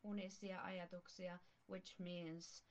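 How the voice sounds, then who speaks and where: A woman from Finland with a Finnish accent, chatting casually and haltingly as she searches for words. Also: on the low side, level tone. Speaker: podcast guest talking about her video diary. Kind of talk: casual chat.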